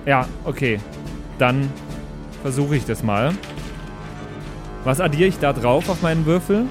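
A man's voice: medium pitch, measured pace, music in the background. A man chatting casually as a player and co-host, in a dry, joking way. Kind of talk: casual chat.

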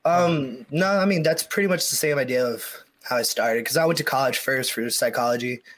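A man's voice: mid-range voice, fairly quick, a bit bright, slight background noise. A man, a guest on a casual chat, telling his story in an easygoing way with many fillers.

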